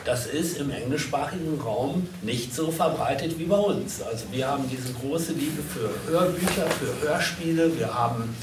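A man hosting a live panel at a convention, wordy and halting as he moderates and interprets. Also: on the high side. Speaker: stage host and interpreter. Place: echoey convention hall. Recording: echoey, distant, noisy event recording.

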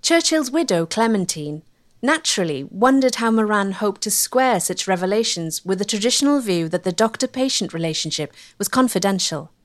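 A woman narrating a history documentary in a clear storytelling manner. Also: medium pitch, lively intonation, normal speed.

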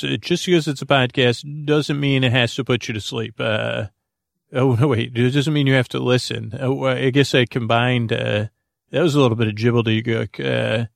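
A man hosting a sleep podcast, rambling in a halting, meandering, goofy way with many tangents and false starts. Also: medium pitch, creaky, no echo, clean studio sound. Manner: goofy, whimsical. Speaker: podcast host.

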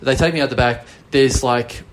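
A man's voice: mid-range voice, normal speed. Rambling Australian man telling a story. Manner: rambling, swears casually, self-mocking. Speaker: podcast host. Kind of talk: casual storytelling chat.

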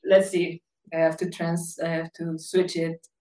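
A woman's voice: on the low side, flat delivery, a little slow, mellow. A woman from the Dutch Caribbean with an accent from the islands, hosting a podcast in a thoughtful, chatty way with plenty of fillers. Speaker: podcast host. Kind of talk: casual podcast chat.

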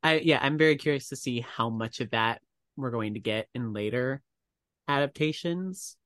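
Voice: medium pitch, moderate pace, a bit bright, no echo, studio quality. A podcast co-host chatting casually with playful interjections.

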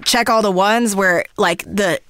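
A woman's voice: medium pitch, measured pace, fairly bright tone, a little hiss or hum. A woman chatting casually as a podcast guest, reactive and peppering her talk with "like". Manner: chatty, reactive, heavy use of like. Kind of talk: casual chat.

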